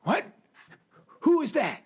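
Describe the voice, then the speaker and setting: A woman's voice: sits high, big pitch swings, slow, exaggerated voice. A woman voicing a very old, lonely, forgetful male sun in a radio play, crotchety and confused. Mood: cranky. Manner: crotchety, confused, snaps then trails off. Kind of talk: radio drama acting.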